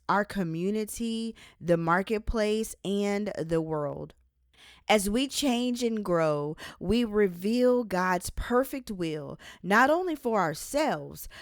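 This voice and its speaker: Slow, deliberate woman reading a devotional. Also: medium pitch, no room sound, clean studio sound. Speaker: author reading their own book aloud. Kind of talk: devotional audiobook narration.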